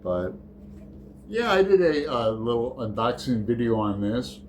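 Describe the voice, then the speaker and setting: A man's voice: medium pitch, very animated, a little slow, slight background noise. A man hosting his book channel, chatting through a tag in a rambling, hesitant way with lots of fillers. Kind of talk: casual book tag chat.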